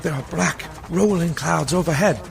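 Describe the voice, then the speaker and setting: 남자가 연기하는 갈라지는 목소리의 불안한 젊은 서기. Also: male voice, fairly high pitch, moderate pace, gravelly. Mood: mounting unease. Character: earnest young male lawyer's clerk narrating his journal, uneasy. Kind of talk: radio play narration. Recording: noisy background behind voice.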